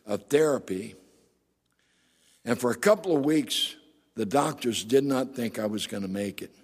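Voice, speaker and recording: A middle-aged male pastor with a gravelly, raspy voice, preaching emphatically and asking the congregation rhetorical questions. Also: mid-range voice. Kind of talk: sermon. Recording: long hall reverb.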